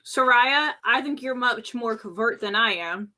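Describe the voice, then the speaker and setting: A woman's voice: a little high, lively intonation, unhurried, sharp tone. A woman chatting through a tabletop role-playing game as a player, casual, slangy and teasing as she improvises.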